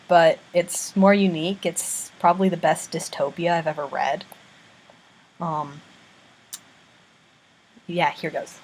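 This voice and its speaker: A woman's voice: fairly low pitch, rough recording. A young American woman chatting casually and reading a book passage aloud, with frequent ums and playful asides, recorded on a webcam in a small bedroom. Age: young adult. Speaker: vlogger. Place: small bedroom with bunk bed, indoors. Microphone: built-in webcam mic.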